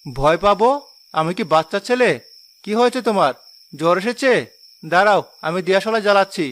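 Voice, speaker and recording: A man's voice: high-pitched, expressive, a little fast, fairly bright tone. A man playing a hardworking, hot-tempered village husband in a cartoon drama, exclaiming and firing off questions. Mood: impatient. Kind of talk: Bengali cartoon moral drama voice acting.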